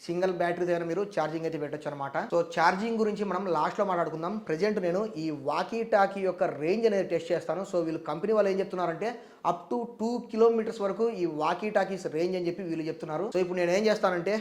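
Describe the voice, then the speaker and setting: A man's voice: high voice, racing. A man with a Telugu accent presenting a product review, explaining things step by step to viewers. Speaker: tech reviewer.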